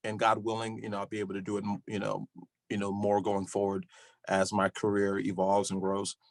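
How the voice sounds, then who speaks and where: A man's voice: lowish voice, brisk, creaky, dry recording. Chatty man, dry close sound. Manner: animated, laughs often, many "you know" fillers. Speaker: podcast guest, musician. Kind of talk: casual chat.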